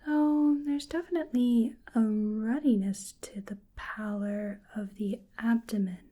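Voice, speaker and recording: Slow, breathy whispering ASMR woman. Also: a little high, steady tone. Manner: gentle, hesitant, musing delivery. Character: curious, polite female researcher examining a creature. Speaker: ASMR roleplay performer. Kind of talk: soft-spoken ASMR roleplay.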